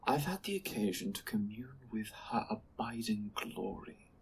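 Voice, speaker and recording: An actor plays a lofty sci-fi superior, wry and condescending, with a theatrical, highly varied delivery. The speech is measured and knowing, as if humoring a subordinate in an audio drama, over clearly audible background noise. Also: big pitch swings, natural voice, poor audio. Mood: firm, patronizing. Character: The Eminence, amused, condescending superior of a sci-fi order. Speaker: guest voice actor. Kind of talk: audio roleplay acting.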